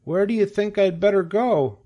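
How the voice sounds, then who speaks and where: A man's voice: sits high, normal speed, highs cut off, voice pushed up. A man voicing a naive, weary young male pig who talks in a plaintive, hesitant way. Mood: curious, unsure.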